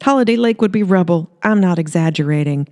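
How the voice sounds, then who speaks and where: A woman's voice: lowish voice, lively intonation, a little fast, darkish tone, natural voice. Woman putting on a feisty, flirty small-town gal. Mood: confident.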